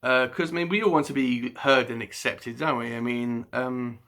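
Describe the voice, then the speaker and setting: A man chatting casually to camera about books at home in the evening, halting with frequent ums, restarts and a slight stutter. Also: mid-range voice, steady tone, a bit bright. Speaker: book vlogger. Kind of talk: casual unscripted chat to camera.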